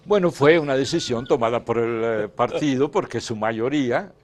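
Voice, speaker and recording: A man from the Dominican Republic, a guest in a political interview, speaking in measured phrases with frequent hesitations. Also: medium pitch. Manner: measured, hesitant with many "eh" fillers. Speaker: interview guest, former legislator.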